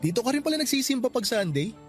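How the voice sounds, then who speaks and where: A man's voice: extremely high pitch, very animated, fast talker, soft background music. A man playing a friendly, helpful young office worker in radio-drama dialogue, chatty and given to teasing.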